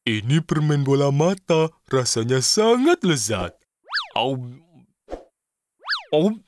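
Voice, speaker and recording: Excitable, highish-voiced little cartoon fellow. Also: male voice, slow pace, background noise. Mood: cheerful. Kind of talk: animated children's cartoon dub.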